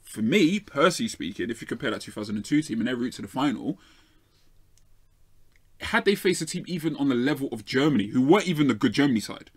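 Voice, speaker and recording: A man with a South London accent, arguing football opinions as a fan show pundit in an animated, rapid-fire way. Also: mid-range voice, husky. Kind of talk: lively football debate.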